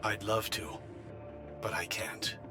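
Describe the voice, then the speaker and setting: A cold, low-voiced official. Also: male voice, fairly even intonation, slow. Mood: coolly dismissive. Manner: formal, unyielding, condescending. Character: cold, smug male official behind a sealed shelter. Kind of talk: scripted game cutscene acting. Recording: music behind the voice. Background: background music.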